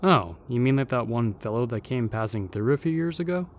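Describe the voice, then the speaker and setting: A man's voice: medium pitch, animated, brisk, natural voice. A man playing a grumbling, sceptical ghost in an audio drama, with dry, sardonic delivery.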